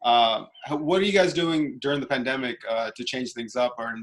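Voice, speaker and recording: A man's voice: medium pitch, brisk, a bit bright, poor audio. A man interviewing casually, with encouraging reactions and hesitant, feeling-his-way phrasing. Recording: faint background noise.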